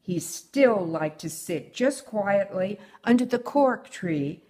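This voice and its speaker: A woman's voice: mid-range voice, lively intonation, slow, darkish tone. An older woman reading a children's picture book aloud as a guest storyteller.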